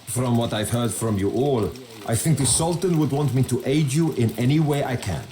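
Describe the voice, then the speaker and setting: An actor playing a loyal, courteous nobleman in a medieval video game, speaking in a measured, earnest way. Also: mid-range voice, natural voice. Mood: earnest, polite. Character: loyal, courteous Middle Eastern nobleman, childhood friend of a Sultan. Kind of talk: dramatic video game cutscene acting. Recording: echoey voice over loud game music.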